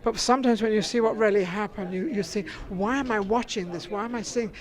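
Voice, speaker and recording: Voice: medium pitch, brisk. A chatty interviewer at an indoor red carpet event, with a mid-range voice. The host talks freely, teases guests and jokes along as the questions come. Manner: talkative and teasing, jokes with guests.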